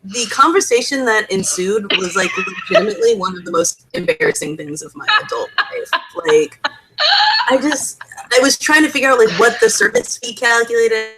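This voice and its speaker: Woman, lively pitch, bright voice, podcast co-host, casual self-deprecating storytelling, faint noise and clicks. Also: moderate pace, poor audio.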